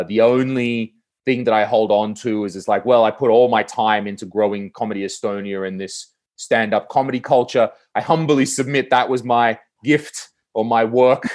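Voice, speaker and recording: A man with an Australian accent, a podcast guest talking casually and animatedly, with lots of fillers and quick restarts. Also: lowish voice, steady tone, darkish tone. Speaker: podcast guest, comedy producer. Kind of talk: casual conversational interview.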